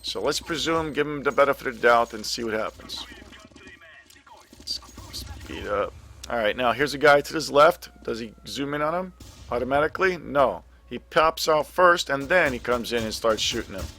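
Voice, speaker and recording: A middle-aged man with a scratchy, gravelly voice, casually commenting on a game replay, hesitant with many ums and restarts. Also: mid-range voice, expressive, moderate pace, pushed and bright, faint music behind. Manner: hesitant, lots of ums and restarts, thinking aloud. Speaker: gaming commentator.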